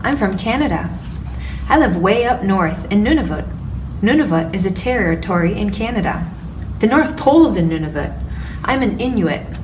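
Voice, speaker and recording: A woman's voice: medium pitch, close to an everyday voice. A woman voicing a friendly young boy from the far north in an earnest storybook reading, recorded from a distance with background noise.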